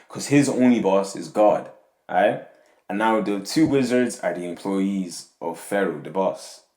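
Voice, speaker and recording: Deep-voiced young man rambling in an echoey room. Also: a little slow, a little mellow. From Nigeria. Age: young adult. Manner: rambling, tags lines with "yeah?" and "you know what I'm saying". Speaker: podcast host. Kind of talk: casual monologue on faith and community.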